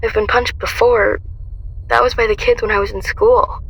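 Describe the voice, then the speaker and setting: A woman's voice: a little high, level tone, normal speed, gravelly, a bit bright, slightly rough, exaggerated voice, rough recording. A woman playing a young heroine who recounts her ordeal in intense first-person dramatic narration. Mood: grim recollection.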